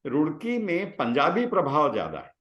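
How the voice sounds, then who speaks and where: An older man shares reflective personal stories. His voice sits a little high and moves with animated swings of pitch, broken by halting pauses. The room adds a noticeable echo. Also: muffled recording. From Bihar, India. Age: 60s. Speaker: guest, retired scientist. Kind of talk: reflective personal storytelling in conversation. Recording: roomy, echoing sound.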